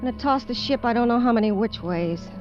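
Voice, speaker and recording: An actress plays a greedy, stranded American woman in a vintage radio play. Her voice is high and her storytelling plain and brooding. Music plays behind the muffled old recording. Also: brisk, darkish tone, close to an everyday voice. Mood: weary, forlorn. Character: desperate greedy woman stranded alone on a derelict ship. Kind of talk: 1950s radio drama acting, first-person confession.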